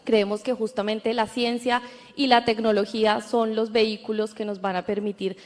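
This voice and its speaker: A Colombian woman in her thirties speaking on a conference panel, clear and explanatory. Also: on the high side, level tone, moderate pace. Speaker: panelist, entrepreneur. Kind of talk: panel talk. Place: conference hall. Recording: long hall reverb.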